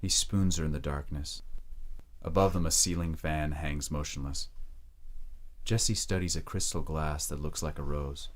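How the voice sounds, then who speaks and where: A man's voice: rumbling low, steady tone, moderate pace. A man narrating an audio drama from a script.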